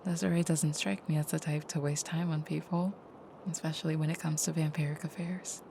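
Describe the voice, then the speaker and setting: A woman playing a tender, soft-spoken vampire girlfriend in an intimate audio roleplay, with a breathy near-whisper and gentle, slightly formal phrasing. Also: sits low, little pitch movement, a little fast, slight background noise. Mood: calming, grateful.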